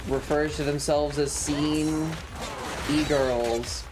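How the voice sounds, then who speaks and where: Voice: mid-range voice, steady tone, slow, noisy background. A streamer chatting casually over a game in a sardonic, mock-serious way, taking time over the words. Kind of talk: casual livestream chat and game commentary.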